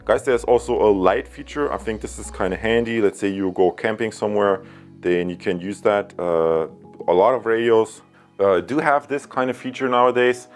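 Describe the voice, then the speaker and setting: A man's voice: fairly low pitch, normal speed, soft background music. A man giving a casual tech product review, choosing his words carefully and a little hesitantly.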